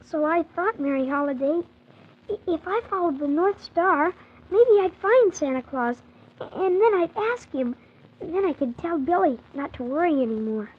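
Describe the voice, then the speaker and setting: A woman's voice: high-pitched, expressive, normal speed. A woman playing an earnest, polite young orphan boy who tells his story wide-eyed in a 1940s children's radio drama. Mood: earnest, hopeful. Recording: thin, phone-like 1940s radio recording.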